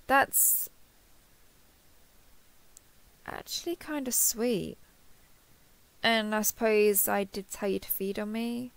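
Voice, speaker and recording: A woman's voice: medium pitch, gravelly, fairly bright tone, dry recording. A woman playing a kindhearted, awkward, easily flustered human in an intimate roleplay audio, hesitant and stammering as she asks curious questions. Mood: surprised, puzzled. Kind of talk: intimate roleplay audio acting, one side of a conversation.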